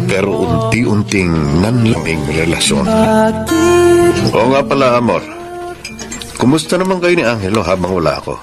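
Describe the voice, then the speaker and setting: A middle-aged Filipino husband and teacher. His lowish voice moves expressively through the drama, with music underneath. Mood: matter-of-fact. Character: cold, curt middle-aged husband, a schoolteacher. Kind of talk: radio drama acting.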